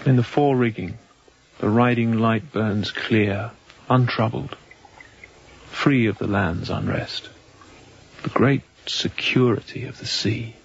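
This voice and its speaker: An actor playing a young, introspective and kindly sea captain in a radio drama, speaking in measured, formal phrases. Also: male voice, on the low side, gravelly, lo-fi sound. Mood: brooding, reflective. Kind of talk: radio drama acting with reflective monologue.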